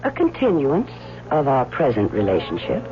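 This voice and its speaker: An actress playing a cool, scheming, possessive mistress in an old-time radio drama, arch and sardonic. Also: female voice, rumbling low, huge pitch swings, moderate pace, exaggerated voice. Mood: sly bargaining. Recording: dull vintage radio, music behind.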